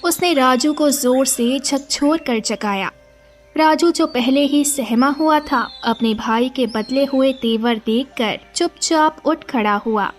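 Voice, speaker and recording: Woman narrating a storybook tale, high voice, reading a script, bit of music behind. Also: slow pace.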